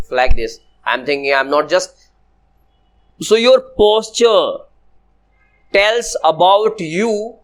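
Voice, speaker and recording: 一位语速缓慢的男老师，讲课时反复问“明白吗”. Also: male voice, high-pitched. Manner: slow, repetitive, often asks "Clear?". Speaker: personality development teacher. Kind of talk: classroom lecture to students.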